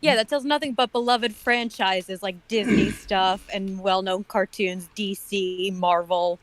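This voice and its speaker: A woman's voice: mid-range voice, steady tone, measured pace, no room sound. Wry woman, close dry sound. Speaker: commentary co-host. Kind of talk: casual comedic chat.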